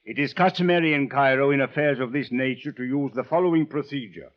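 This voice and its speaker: A man's voice: medium pitch, fast, natural voice. Man acting a stern, formal police officer, muffled radio. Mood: official. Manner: formal, precise, authoritative. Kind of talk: 1940s radio drama acting. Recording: muffled old radio broadcast.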